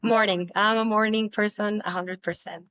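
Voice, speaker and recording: A young woman whose native language is Spanish, answering interview questions casually, with many halting restarts. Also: medium pitch, fairly even intonation, a bit bright, dry recording, highs cut off. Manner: halting, repeats words, laughs often. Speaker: guest, pole dancer.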